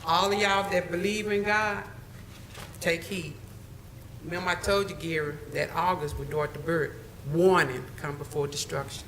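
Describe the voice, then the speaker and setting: A woman's voice: lowish voice, fairly even intonation, fairly bright tone, a little hiss or hum. A woman addressing a public board meeting, reciting scripture in a solemn, preacher-like way before giving a warning. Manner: slow, solemn, declaiming. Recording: echoey meeting room.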